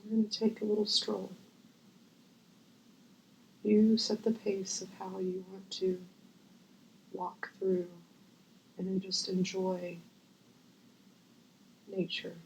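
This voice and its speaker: A woman guiding a visualization as host, gentle and unhurried with long pauses, her voice breathy and often near a whisper. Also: medium pitch, flat delivery, a little hiss or hum, low-quality recording. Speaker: guided meditation host. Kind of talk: guided visualization, meditation.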